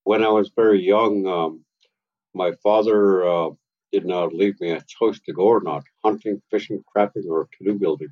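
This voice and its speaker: An older man, an elder and carver, speaking as an interview guest in a grave, measured way. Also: deep voice, lo-fi sound. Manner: grave, measured, plain-spoken. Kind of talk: slow reflective storytelling.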